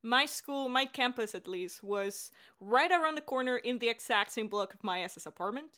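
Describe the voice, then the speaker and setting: A woman's voice: a little high, expressive, normal speed, pushed and bright. A young adult woman chatting casually as a podcast director and co-host in a creator Q&A.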